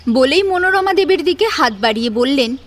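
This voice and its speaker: A woman's voice: high voice, expressive, a little fast. A woman narrating a scripted family drama as an audio story.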